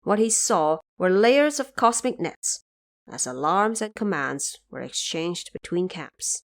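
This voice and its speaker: Measured woman narrating an audio drama. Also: lowish voice, expressive.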